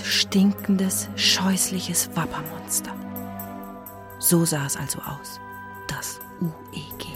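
A woman narrating a scripted children's story in a dramatic, suspenseful way. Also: fairly low pitch.

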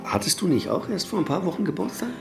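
An actor playing a droll, jovial man in his fifties in a naturalistic radio play, halting and mock-solemn in delivery. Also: high-pitched, very animated, gravelly, soft background music, exaggerated voice. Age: 50s. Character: droll middle-aged best friend, mock-pompous and jovial.

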